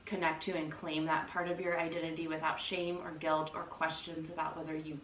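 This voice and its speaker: A woman's voice: deep voice, level tone, a little fast, a bit bright. An American woman co-hosting a casual podcast conversation, asking questions and reflecting in an informal, empathetic way. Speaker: podcast co-host, interviewer. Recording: echoey room, distant mic, faint noise.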